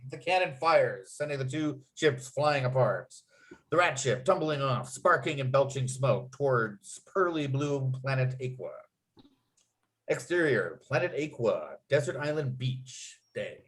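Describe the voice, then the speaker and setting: A man's voice: medium pitch, animated, measured pace, fairly bright tone, poor audio. A man narrating a comedy screenplay at a table read, reading out scene headings and action with punchy delivery.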